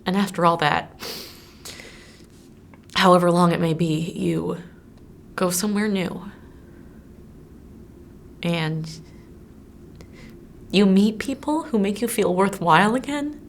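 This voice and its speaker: Actress as lovesick woman, confiding and earnest, dramatic monologue, deep register with vocal fry. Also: slow pace, pauses often, slight background noise. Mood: wistful, healing. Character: heartbroken woman pining through years of unrequited love. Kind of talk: dramatic film monologue, audition performance.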